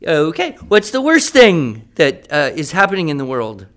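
A man's voice: fairly high pitch, wildly swooping pitch, moderate pace, a bit bright. A man playing a patient writing teacher in a radio drama, explaining step by step and checking that students follow. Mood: encouraging. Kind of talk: improvised-sounding classroom teaching in a radio drama.

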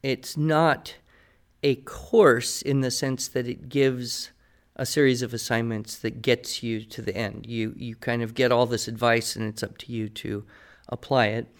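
Male, American, podcast expert. Articulate, opinionated explainer with a conversational mid-pitched delivery. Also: fairly even intonation, unhurried. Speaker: podcast guest expert, writing teacher. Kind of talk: conversational advice, answering listener questions.

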